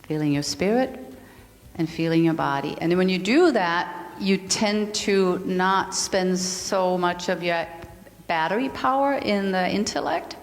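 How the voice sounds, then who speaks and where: A calm, earnest middle-aged woman with a Danish accent, whose voice sits a little low. She gives a reflective spiritual talk, now and then repeating a word as she searches for the next one. The sound carries a long reverb from the meeting hall. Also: a little slow, gravelly. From Denmark. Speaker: yoga and mindfulness teacher.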